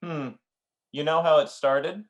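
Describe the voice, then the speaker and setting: A man's voice: a little high, fairly even intonation, measured pace, dull audio, close to an everyday voice. A man playing a dry, cynical, teasing husband in an old-time radio comedy, with a wry, grumbling delivery.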